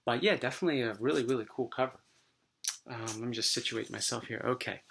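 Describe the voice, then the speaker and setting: A man with a voice worn dry and hoarse by a recent cold. He talks in a loose, easygoing way, full of ums and restarts. The tone is casual show-and-tell. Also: medium pitch, fast talker, slight background noise. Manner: relaxed, rambling, many fillers. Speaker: comic book collector vlogger.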